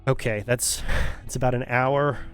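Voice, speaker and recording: A man's voice: medium pitch, fairly even intonation, moderate pace, fairly bright tone, smooth, faint music behind. A male streamer talking casually to his viewers, rambling with many restarts and fillers, his voice strained and still recovering. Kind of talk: casual stream sign-off talk.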